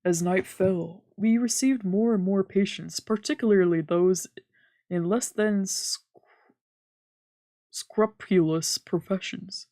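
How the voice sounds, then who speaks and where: A woman's voice: mid-range voice, slow, warm tone, no room sound. A woman streamer narrating a visual novel aloud in a let's play, reading dramatically and sometimes stumbling over words.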